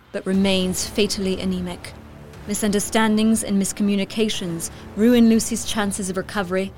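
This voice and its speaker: Woman narrator with medium pitch over music. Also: a little mellow. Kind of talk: scripted audio drama recap narration.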